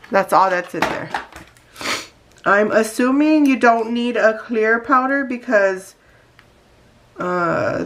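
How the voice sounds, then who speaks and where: A woman's voice: medium pitch, fairly bright tone, slight background noise. A woman with a congested, nasal voice from allergies, chatting casually to her viewers as she unboxes nail products. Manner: chatty, talks straight to viewers. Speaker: beauty vlogger.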